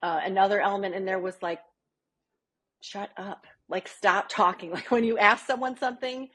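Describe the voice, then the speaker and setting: Husky woman, chatty business coach. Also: medium pitch, fairly even intonation, normal speed, a bit bright. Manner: chatty, keeps asking "right?". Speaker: small business coach, guest. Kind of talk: podcast interview.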